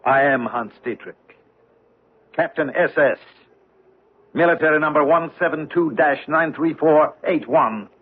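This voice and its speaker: An actor in a 1970s radio drama playing a proud SS officer who is unafraid of death, stiff and defiant. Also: male voice, a little high, measured pace, slight background noise, close to an everyday voice. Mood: cold. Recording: narrow tinny 1970s radio broadcast.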